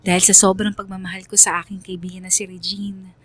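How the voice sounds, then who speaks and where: A woman's voice: mid-range voice, level tone, fast, close to an everyday voice. A woman playing a reflective, loyal young woman who narrates her story in a radio drama, earnest and confessional. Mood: wistful.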